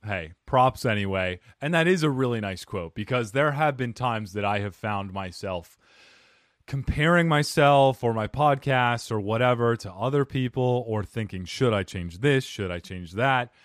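A man's voice: medium pitch, expressive, normal speed, no echo, clean studio sound. A man hosting a podcast interview, chatting in a playful, self-deprecating way. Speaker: podcast host. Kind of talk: casual interview chat.

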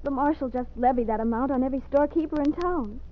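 Tinny vintage radio sound; actress as spirited young American western heroine, very high, earnest, theatrical. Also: female voice, fast, lightly acted. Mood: distressed. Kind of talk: old-time radio western acting. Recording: tinny, noisy old radio recording.

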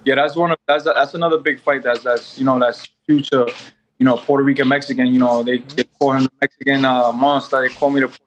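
A man's voice: mid-range voice, fairly even intonation, quick pace, dull audio, a little hiss or hum. A man in his twenties from New York, a boxer guest talking in a casual, rambling way with many fillers and restarts. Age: 20s. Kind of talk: casual interview chat.

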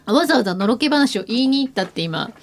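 A woman's voice: a little high, expressive, quick pace, noisy background. A woman co-hosting a casual radio chat in a giggly, reactive, teasing manner. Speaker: radio show co-host.

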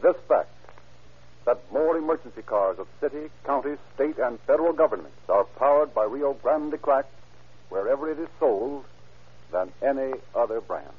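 A man's voice: mid-range voice, lively intonation, moderate pace, breathy edge. A man with an American accent announcing from a script in formal, declarative 1930s radio style. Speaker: announcer and sponsor spokesman. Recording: muffled vintage radio recording.

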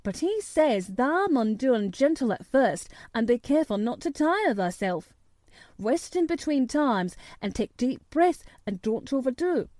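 Woman acting a gentle country boy. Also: sits high, very animated, unhurried, no room sound, studio quality, voice pushed up. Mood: gentle encouraging. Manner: plain dialect speech, encouraging. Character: friendly rustic Yorkshire boy, eager and gentle.

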